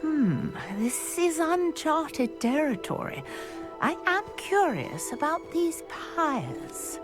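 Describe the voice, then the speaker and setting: A woman's voice: high-pitched, sing-song, slow pace, over music, exaggerated voice. A woman voicing an eager, chatty witch archaeologist in a video game, prone to exclaiming in wonder. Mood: amazed. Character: excitable scholarly witch, archaeologist obsessed with Merlin.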